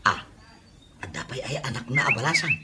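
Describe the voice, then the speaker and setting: A man's voice: mid-range voice, sing-song, brisk, sharp tone, a little hiss or hum. An actor with an Ilocano accent playing a hard-up, apologetic man among drinking friends in a comedy radio drama.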